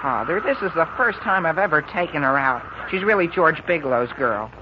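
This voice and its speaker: An actor playing an eager, flustered teenage boy in a 1940s radio sitcom, earnest and excitable. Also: fairly high pitch, brisk, close to an everyday voice. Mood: pleading. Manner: excitable, gee-whiz earnestness. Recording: dull, noisy 1940s radio recording.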